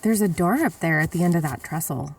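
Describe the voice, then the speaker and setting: A woman's voice: low voice, fast talker, slightly rough, recorded from a distance. A woman playing a nosy, bold, streetwise bank robber in an audio drama, speaking bluntly and tersely. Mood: curious, scheming.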